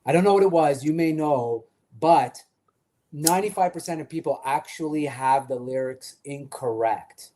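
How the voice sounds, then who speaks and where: A man from Quebec who speaks English as a second language with a Quebec French accent, chatting excitedly as a podcast co-host. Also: on the high side, normal speed. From Quebec, Canada. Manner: animated, excitable storyteller. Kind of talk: casual chat.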